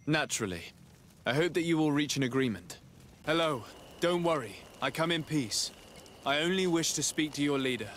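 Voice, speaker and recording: A man playing a calm, courteous noble envoy in a video game, speaking in a measured, formal, conciliatory way. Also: fairly high pitch, slight background noise. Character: calm diplomatic male legate, courteous young noble envoy. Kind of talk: scripted video game cutscene dialogue.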